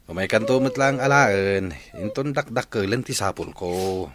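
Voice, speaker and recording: A man's voice: lowish voice, a little slow, crowd noise, close to an everyday voice. A man playing a troubled, coaxing father in a radio drama, trying to persuade and placate. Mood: reassuring.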